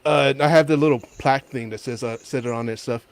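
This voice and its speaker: A man's voice: medium pitch, fast. A man chatting casually as a guest on a gaming panel. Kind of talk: casual chat.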